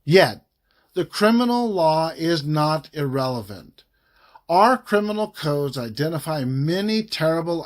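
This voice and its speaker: A man reading a formal legal document aloud in a measured, emphatic way, pausing phrase by phrase. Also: sits high. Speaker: reader of a government report.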